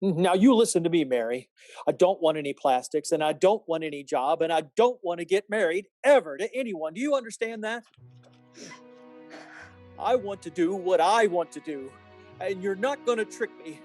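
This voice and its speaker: An actor playing a restless, earnest young small-town husband in a 1940s-style radio drama, speaking emphatically. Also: male voice, high voice, lively intonation, moderate pace, a little mellow, faint music behind. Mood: angry, desperate. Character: restless, romantic young small-town man, newly married.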